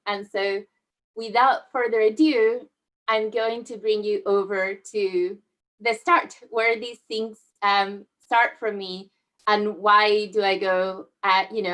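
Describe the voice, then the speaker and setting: A woman's voice: medium pitch, a bit bright, rough recording. Woman from southern spain giving a slide talk online, middle-aged, easygoing, lots of ums. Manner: friendly, measured, with frequent fillers. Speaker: guest speaker. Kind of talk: presenting a talk over slides. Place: online video call.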